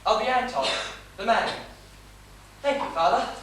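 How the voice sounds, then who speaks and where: A man's voice: high-pitched, animated, moderate pace, a bit bright. A man playing a timid, naive, fragile nineteen-year-old son in a stage comedy, nervous, pleading and obedient. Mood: meek, earnest. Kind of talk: live comedic stage play acting. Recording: echoey theatre stage, distant mic, faint noise.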